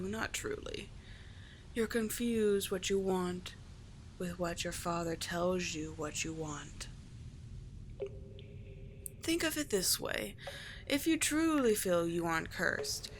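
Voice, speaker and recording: A woman playing a cunning, doting sea witch in an ASMR roleplay, breathy and measured, with coaxing, condescending endearments. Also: mid-range voice, close to an everyday voice. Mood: coaxing, teasing. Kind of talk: ASMR roleplay, scripted monologue. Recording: clear steady background ambience noise.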